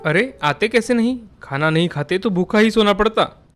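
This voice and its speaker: A man playing a stern but reasonable young husband and son in an animated family story, plain and admonishing. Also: high voice, normal speed, soft background music. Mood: matter-of-fact. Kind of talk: animated Hindi moral story dialogue.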